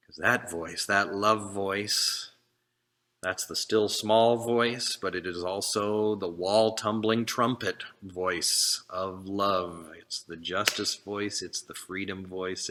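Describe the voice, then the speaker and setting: A man preaching a sermon as a minister, speaking earnestly in short, deliberate phrases. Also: deep voice, pushed and bright.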